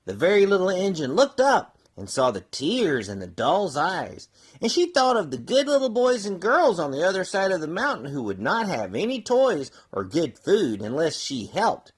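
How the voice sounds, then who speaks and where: A woman narrating a children's storybook expressively, with drawn-out emphasis on key words. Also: fairly low pitch, husky. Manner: slow, animated, drawn-out emphasis. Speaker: storybook reader.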